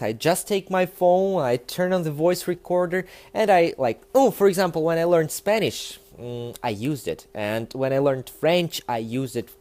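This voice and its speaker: A young man with a Russian accent, a native Russian speaker, talking to the camera in a casual, animated and emphatic way, with many fillers. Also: on the high side. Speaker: language learning vlogger.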